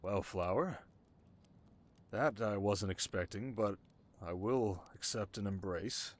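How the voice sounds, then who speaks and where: An actor playing a sharp, haunted young king in an intimate ASMR romance roleplay, talking wryly and dramatically to his listener. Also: fairly low pitch, slow pace. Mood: tender. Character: young desert king, sharp, wry, haunted, teasingly tender.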